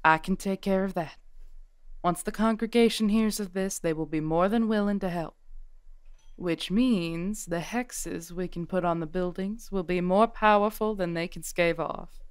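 A woman's voice: fairly low pitch, a little slow, clear, resonant tone, no room sound, studio quality, close to an everyday voice. A woman playing a genteel, composed witch leader in an audio drama, polite yet commanding.

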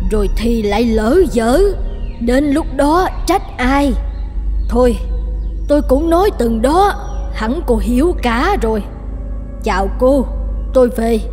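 Snobbish high-voiced cartoon mother. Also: darkish tone. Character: scheming, snobbish middle-aged mother, cold and persuasive. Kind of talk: dubbed cartoon drama acting. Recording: long reverb, music underneath.

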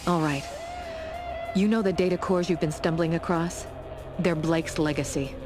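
Woman acting secret agent, low-pitched, grave, earnest, game cutscene storytelling, loud music. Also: fairly even intonation, moderate pace, natural voice. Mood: solemn, earnest. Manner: earnest, solemn, expository. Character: grave female secret agent revealing a conspiracy.

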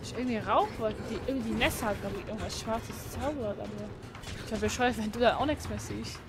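A woman's voice: fairly high pitch, a little fast, noisy background. A woman in casual gaming chat, commenting on a streamed game as a companion.

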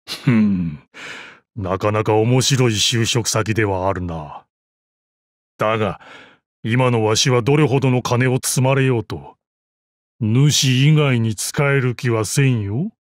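An actor playing a proud, battle-hardened old martial arts master in a game, with gruff dignity, dry amusement and archaic old-man speech. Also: mid-range voice, no echo. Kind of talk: Japanese mobile game character voice lines.

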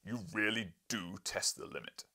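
Man acting a booming, irascible professor. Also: normal speed, sharp tone, heavily acted. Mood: irate, contemptuous. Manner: bellowing, scornful, emphatic. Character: blustering, irascible, pompous male professor, loud and contemptuous.

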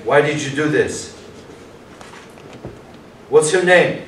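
A man plays an officious young detective in a stage reading. He is insistent and easily exasperated, with deliberate pacing. He is heard from far off in an echoey room. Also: fairly high pitch. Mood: stern. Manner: commanding, insistent questioning. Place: small performance room with audience, far camera. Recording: distant, echoey room recording with noise.

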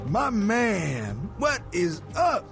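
A man's voice: high voice, huge pitch swings, drawn-out words, creaky, fairly bright tone, faint music behind, full character voice. A man playing a jovial, slangy street arms dealer in a video game, boisterous and backslapping. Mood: boisterous greeting. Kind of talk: scripted video game dialogue.

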